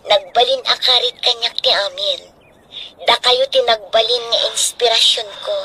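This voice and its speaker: An emotional young Ilocano daughter, bright-voiced. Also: female voice, a little high, normal speed. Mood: emotional, proud. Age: young adult. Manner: emotional, pleading. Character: devoted young daughter, newly a teacher, tearful and grateful. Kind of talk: Ilocano radio drama acting.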